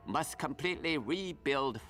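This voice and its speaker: An actor playing a cheerful, blunt surgeon in a video game, speaking clipped broken English. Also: male voice, fairly high pitch, expressive, a little slow, fairly bright tone, faint music behind. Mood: jokey, blunt. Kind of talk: video game cutscene acting.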